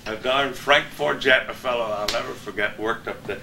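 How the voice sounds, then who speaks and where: A man's voice: mid-range voice, normal speed. An American man, a veteran broadcaster and guest, reminiscing in casual chat. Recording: echoey room, distant mic, noisy.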